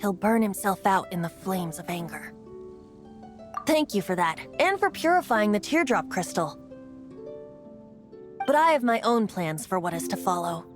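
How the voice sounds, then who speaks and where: A woman's voice: mid-range voice, big pitch swings, normal speed, long pauses, over music, natural voice. A woman voicing a whimsical boyish young male bard in an anime video game, lilting and theatrical, playful and wistful.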